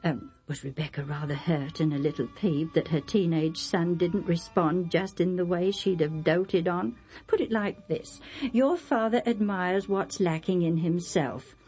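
A woman's voice: lowish voice, unhurried, darkish tone, soft background music, lightly acted. A woman voicing a doting, scheming mother in a dramatised Bible story. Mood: doting, reflective. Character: Rebecca, doting, scheming mother of grown twins.

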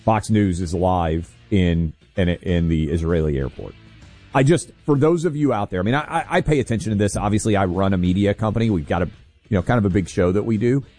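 Male radio co-host, dark low voice, dry studio, faint music bed. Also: a little fast. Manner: pointed, critical commentary with fillers. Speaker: radio talk show co-host. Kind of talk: political talk radio.

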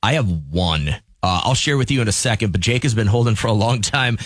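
A man's voice: fairly low pitch, lively intonation, brisk, dry recording. A man co-hosting a morning radio show, chatting casually and teasing his co-host. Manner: teasing, dry.

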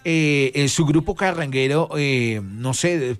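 A man hosts local radio with a Boyacá Colombian accent. His voice sits on the high side, and he talks in a chummy, colloquial style over music. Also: measured pace. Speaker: radio host. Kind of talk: lively, informal local radio interview.

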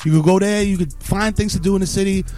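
A middle-aged man with a New York accent hosting a morning radio show segment, talking casually with slang and quick laughs. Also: high voice, faint music behind. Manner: animated hype-man delivery with slang and laughs.

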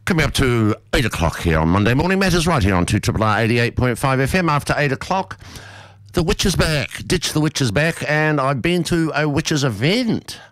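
A man's voice: mid-range voice, expressive, fairly quick, a bit bright, dry recording. An Australian man with a big, strong voice presenting local talk radio in a chatty, playfully theatrical way.

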